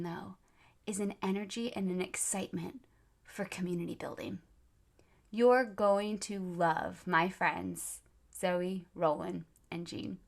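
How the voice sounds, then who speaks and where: A woman hosting a music education podcast, upbeat and quick to laugh. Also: mid-range voice. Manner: warm, upbeat, laughs easily. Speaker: podcast host. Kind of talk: podcast intro and interview.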